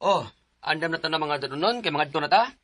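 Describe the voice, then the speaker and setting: A man's voice: on the high side, animated, quick pace, lightly acted. A man playing a protective, concerned husband and father in a radio drama. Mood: brisk, caring.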